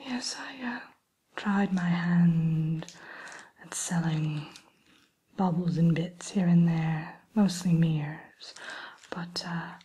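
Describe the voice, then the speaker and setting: A woman playing a sly, lonely evil queen shopkeeper in a whispered ASMR roleplay, speaking in a coy, genteel, teasing way with quiet menace. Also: lowish voice, a little hiss or hum. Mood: sly, inviting. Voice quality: breathy, mostly whispered. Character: sly, lonely evil queen selling cursed caramel apples. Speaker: roleplay shopkeeper addressing a customer.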